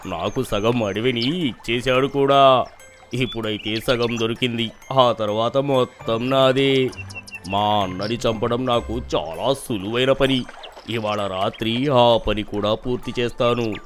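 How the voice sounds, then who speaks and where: A man's voice: medium pitch, fairly even intonation, measured pace, faint music behind, natural voice. Man doing a gloating, menacing villain lion. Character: scheming, hot-tempered villain lion, younger brother.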